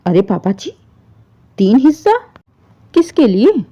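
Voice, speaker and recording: A woman's voice: fairly high pitch, sing-song, slow pace, dark tone, slightly rough, full character voice. Woman acting a greedy, indignant daughter-in-law, muffled. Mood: shocked, indignant. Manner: argumentative, pointed questioning. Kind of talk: dramatised Hindi moral story. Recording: dull, muffled lo-fi audio.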